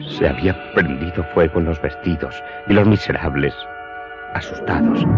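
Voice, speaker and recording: Remorseful, very deep-voiced servant. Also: male voice. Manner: deferential, sorrowful, confessional. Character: remorseful male servant, a steward confessing his crime. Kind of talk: Spanish-language radio drama acting. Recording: dull lo-fi sound, music behind.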